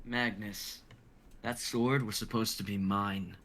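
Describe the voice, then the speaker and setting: A man's voice: on the low side, fairly even intonation, slow, fairly bright tone. A man playing a bitter, entitled young knight, sneering and accusatory, in an amateur fantasy drama reading. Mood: resentful.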